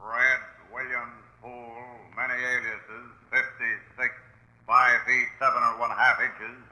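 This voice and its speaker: A man reading a 1940s radio bulletin deliberately, item by item, in clipped scripted phrases. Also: mid-range voice, little pitch movement, pushed and bright, a little hiss or hum. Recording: roomy, echoing 1940s radio recording.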